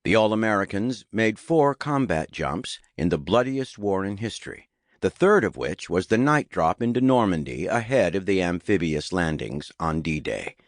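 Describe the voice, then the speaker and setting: Low-voiced man narrating an audiobook. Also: very animated, normal speed, no room sound, studio quality. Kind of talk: scripted nonfiction narration. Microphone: close studio microphone.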